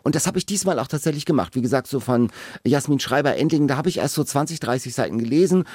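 A man's voice: mid-range voice, lively intonation, fast, a little mellow, no echo, studio quality. A German man co-hosting a podcast in casual, chatty conversation, explaining things in a slightly playful know-it-all way. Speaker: podcast co-host. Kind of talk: casual book-podcast chat. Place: podcast studio.

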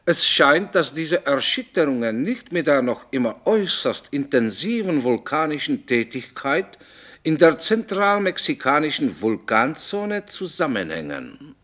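An actor playing a cautious geology professor giving measured, academic interview answers in a radio play. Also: male voice, on the high side, sing-song, fairly bright tone, full character voice. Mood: cautious lecturing.

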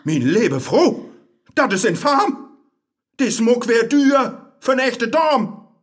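Vain seducer, radio drama. Low German, pompous, highly animated melody. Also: male voice, takes it slowly, husky, exaggerated voice. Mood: indignant, contemptuous. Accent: Low German (northern Germany). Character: vain lecherous man, flattering suitor turning contemptuous and crude. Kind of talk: radio play acting in rhymed dialogue.

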